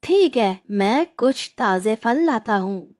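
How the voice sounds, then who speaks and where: A woman's voice: a little high, expressive, slow, darkish tone, a little hiss or hum. A woman voicing a caring, helpful male crow in a cartoon moral story. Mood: eager. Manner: earnest, helpful.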